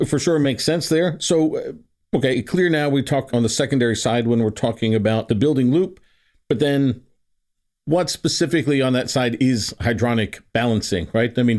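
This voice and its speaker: A man hosts a podcast interview, setting up questions and steering the talk casually. He speaks in a friendly, prompting way. His pitch sits in a typical middle range. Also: brisk, a little mellow. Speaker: podcast host.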